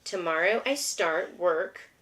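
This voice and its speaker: A woman's voice: lowish voice, slow, a bit bright. A woman, a homeschooling mother, reading a picture book aloud to her young child with patient, encouraging, simple questions.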